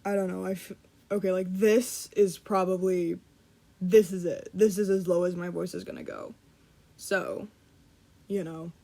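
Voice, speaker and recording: A young woman vlogging in a casual, self-deprecating ramble, laughing often and swearing offhand while trying to make her voice deeper. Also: fairly even intonation, creaky, warm tone. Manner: deliberately lowering her voice. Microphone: computer mic.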